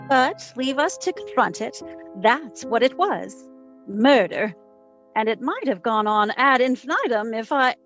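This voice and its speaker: A woman's voice: on the high side, muffled recording. A woman playing a wisecracking, cool-headed female private investigator in an old-time radio detective drama. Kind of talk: 1940s radio detective acting and narration.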